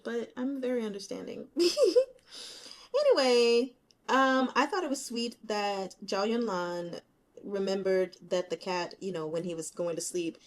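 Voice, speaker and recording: A woman hosting a reading channel, talking through her notes in a casual, candid, colloquial and animated way. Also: on the low side. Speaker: host of a book reading channel. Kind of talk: casual chatty book commentary. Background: rain.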